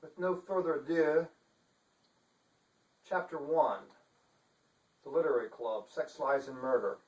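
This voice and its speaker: A man with a pompous, theatrical manner giving a deliberate dramatic reading as an author. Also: fairly high pitch. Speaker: author reading his novel. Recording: echoey room, distant microphone.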